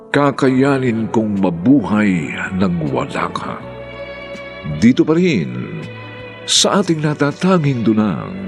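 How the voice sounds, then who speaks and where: A man's voice: on the low side, gravelly. A man hosting a radio drama with formal, dramatic scripted narration.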